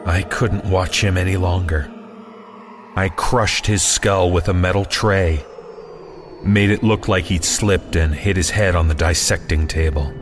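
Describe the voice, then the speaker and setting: A man's voice: low-pitched, fairly even intonation, measured pace. A man narrating a scripted first-person horror story in a conversational way with wry asides. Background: music.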